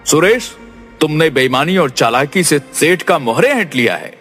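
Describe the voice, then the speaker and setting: A man voicing a stern but fair king who gives judgments in a cartoon story, speaking in a measured, commanding way. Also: on the high side, very animated, a bit bright, soft background music. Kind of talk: dubbed cartoon acting.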